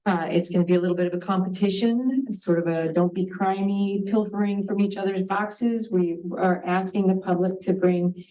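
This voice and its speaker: A woman's voice: medium pitch, steady tone, normal speed, dark tone, recorded from a distance, rough recording. A woman board member giving announcements at a meeting, chatty and hesitant, stumbling over a word now and then.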